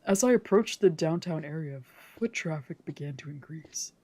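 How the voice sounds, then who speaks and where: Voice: medium pitch, animated, normal speed, a little mellow. A streamer reading a visual novel's narration aloud, occasionally stumbling over a word. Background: background music from a video game.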